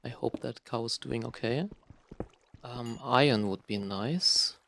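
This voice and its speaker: A man's voice: mid-range voice, slow pace, vocal fry, a bit bright, a little hiss or hum. A young man from Germany with a German accent, talking unscripted and rambling while he plays a game, with many fillers and restarts. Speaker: gaming vlogger. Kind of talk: casual unscripted talk while playing a game.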